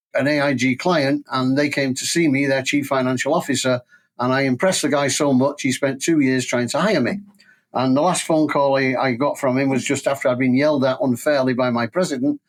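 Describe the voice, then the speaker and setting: An older man with a Manchester English accent, telling stories conversationally as an interview guest. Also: medium pitch. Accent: Mancunian English. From England. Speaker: podcast guest, safety training company president. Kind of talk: conversational career storytelling.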